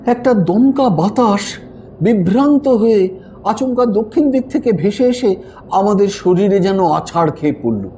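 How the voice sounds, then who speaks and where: A man narrating a classic story in an expressive, theatrical way. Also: sits high, measured pace, slight background noise. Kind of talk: dramatic audio-story narration of classic fiction.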